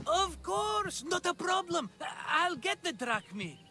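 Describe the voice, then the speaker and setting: A man's voice: very high voice, sing-song, takes it slowly, pushed and bright, full character voice. An actor playing a nervous, wheedling male schemer in a video game, theatrical and ingratiating. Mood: nervous, placating. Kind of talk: cinematic game cutscene dialogue.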